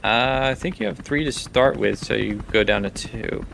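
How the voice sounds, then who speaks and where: A man's voice: fairly low pitch, normal speed, creaky, a bit bright. A man running a tabletop game as game master, narrating off the cuff and thinking aloud as he goes. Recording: faint background noise.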